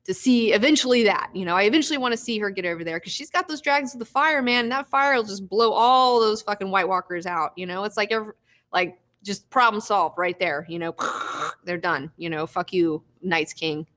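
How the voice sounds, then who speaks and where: A woman's voice: medium pitch, fairly quick, a bit bright. Chatty young woman hosting a recap. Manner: chatty, swears freely, laughs often. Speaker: host of a live recap show. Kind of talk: casual live recap chat.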